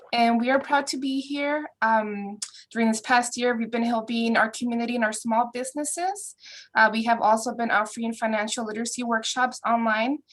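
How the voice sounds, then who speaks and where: A woman with a slightly high voice gives a polite, hesitant pitch for her credit union. Her delivery is courteous and gently halting. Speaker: business development officer. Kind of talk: short networking pitch.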